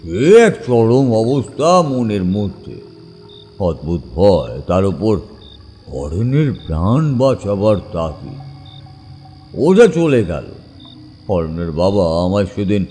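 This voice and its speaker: A man narrates a horror tale very slowly, with lively dramatic swings in his dark, mellow voice. Long reverb surrounds him and music plays underneath. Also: fairly low pitch.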